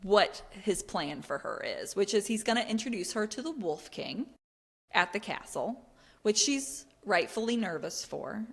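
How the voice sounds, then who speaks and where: A woman's voice: medium pitch, expressive, a little slow, slightly rough. A woman co-hosts a casual book discussion with a mid-range voice. She explains things informally, as if recapping for friends. The recording carries a long, hall-like reverb. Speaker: book podcast co-host.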